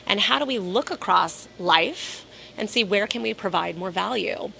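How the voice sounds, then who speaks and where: A hoarse woman speaking in an interview at a conference, talking in a measured, businesslike way and slowing down to stress her points. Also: medium pitch, animated, pushed and bright, a little hiss or hum, poor audio. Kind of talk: casual interview. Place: at a conference in New York City.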